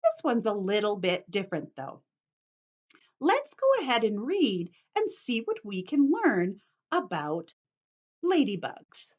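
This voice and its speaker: A woman's voice: medium pitch, wildly swooping pitch, slow, a little mellow. A woman teacher reading aloud to young children, explaining things simply and putting questions to them as she goes, in slightly dull lo-fi sound. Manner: instructive, addresses children with questions and simple repetition.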